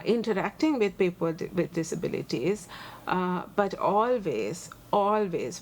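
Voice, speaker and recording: A Sri Lankan woman in her forties with a Sri Lankan accent, a lawyer answering interview questions earnestly and thoughtfully, pausing on many fillers. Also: lowish voice, slight background noise, rough recording. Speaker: guest, human rights lawyer and advocate.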